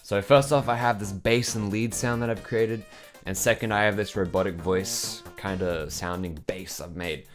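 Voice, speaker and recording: A man's voice: sits low, moderate pace. A young man, a music producer, casually explaining his synth sound design, thinking aloud with lots of fillers. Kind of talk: casual software tutorial.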